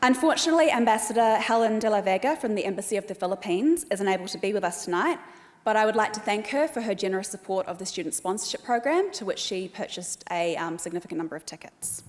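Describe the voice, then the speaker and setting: A woman with a New Zealand accent giving a formal welcome speech as event host, speaking in a measured, deliberate way. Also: mid-range voice, animated, slight background noise. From Australia. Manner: measured, reads names slowly and deliberately. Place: large hall at an evening event. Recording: long hall reverb.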